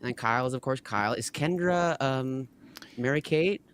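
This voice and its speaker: A man's voice: medium pitch, moderate pace, a bit bright, slight background noise. A man co-hosting a casual group chat, thinking aloud in an informal way. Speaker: co-host.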